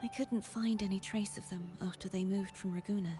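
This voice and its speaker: A woman playing a gentle young knight in a video game, speaking in a measured, softly formal, wistful way. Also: mid-range voice, steady tone, lightly acted. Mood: wistful, resigned. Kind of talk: scripted video game voice acting. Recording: game audio with music underneath. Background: music.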